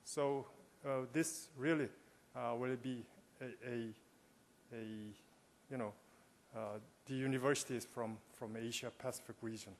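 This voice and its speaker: A man chairing a conference session, speaking haltingly with many fillers and restarts. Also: mid-range voice, fairly even intonation. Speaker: session moderator, university president. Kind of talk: conference moderating. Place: large conference hall.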